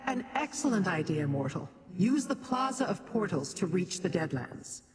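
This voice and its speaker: A woman playing a brisk, decisive, commanding adventurer companion in a fantasy video game. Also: lowish voice, big pitch swings, a crowd in the background. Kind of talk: video game character acting. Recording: long reverberant hall effect, game music.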